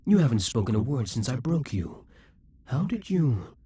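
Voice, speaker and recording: A man's voice: medium pitch, big pitch swings, moderate pace, warm tone, husky, dry recording. A man voicing a possessive, scheming male demon king in a theatrical monologue for an audio roleplay. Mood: exasperated. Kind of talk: audio roleplay addressing the listener.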